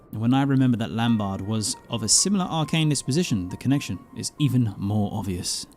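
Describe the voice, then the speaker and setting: A man reads out a grave scholarly mentor in a fantasy video game. He recounts dark lore formally and deliberately, in a mid-pitched voice. Faint music plays underneath. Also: natural voice. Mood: grave, explanatory. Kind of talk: dramatic reading of fantasy game dialogue.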